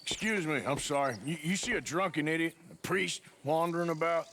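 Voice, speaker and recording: An actor playing a tough, blunt outlaw cowboy with a rural Western American drawl, in a video game. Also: male voice, on the high side, fairly quick, pushed and bright, a little hiss or hum. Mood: polite.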